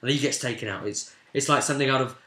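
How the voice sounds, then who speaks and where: Man, game master, bright forceful voice, casual animated storytelling, emphatic swells, roomy echo. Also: medium pitch, fast talker. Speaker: game master and host of a role-playing vidcast. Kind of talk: casual recap of a tabletop game session. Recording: roomy, echoing room sound.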